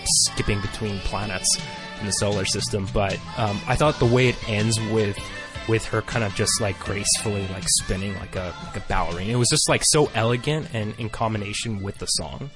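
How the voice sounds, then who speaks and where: A man's voice: on the low side, a little fast, over music. A man co-hosting a casual podcast who recaps a cartoon episode in a chatty, hesitant way, often correcting himself. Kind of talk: casual chat mixed with read recap.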